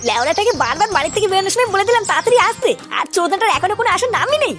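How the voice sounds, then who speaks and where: Brash young cartoon troublemaker, extremely high-pitched and rapid-fire, irritable, music behind. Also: big pitch swings, fairly bright tone, heavily acted. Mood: irritated. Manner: brash, scolding, swearing. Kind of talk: comedy cartoon voice acting. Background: background music.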